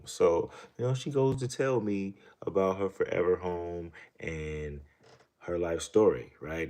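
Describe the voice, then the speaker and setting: A man with an extremely low, deep voice talks casually to his viewers. He rambles through a story and then money rules, and tags almost every thought with "right?". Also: darkish tone. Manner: rambling, keeps asking "right?". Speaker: channel host.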